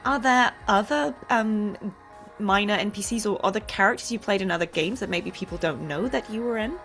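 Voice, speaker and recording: A woman's voice: mid-range voice, a little fast, a bit bright, faint music behind. A woman hosting a casual interview between voice actors, chatty and playful in the way she talks.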